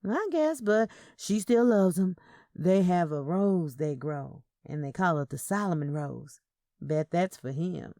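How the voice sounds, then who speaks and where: A woman's voice: lowish voice, expressive, a little slow, warm tone, no echo, studio quality. A woman voicing a curious, sassy young Southern niece in a story, questioning and emphatic.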